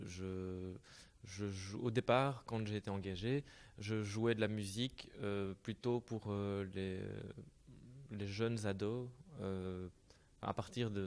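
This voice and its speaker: A man, a hospital musician and coordinator, introducing himself and his work at a panel in a halting way, often searching for words. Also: on the low side, darkish tone.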